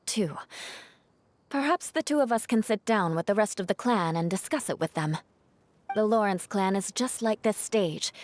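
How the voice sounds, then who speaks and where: A woman playing a firm, candid young noblewoman in a video game, composed, measured and formal. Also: mid-range voice, lightly acted. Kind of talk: video game cutscene voice acting.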